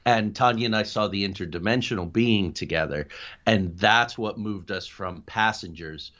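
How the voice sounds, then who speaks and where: An American man with a fairly low voice tells stories conversationally as a podcast guest. He is excitable and high-energy, wandering into asides. The audio is slightly dull and band-limited. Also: normal speed. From New Jersey, United States. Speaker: podcast guest, paranormal investigator. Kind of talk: conversational personal storytelling.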